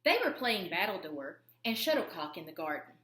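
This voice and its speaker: A woman's voice: lowish voice, sing-song, normal speed, fairly bright tone, roomy sound. A woman reading a storybook aloud to children in a theatrical, emphatic way. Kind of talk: expressive read-aloud narration for children.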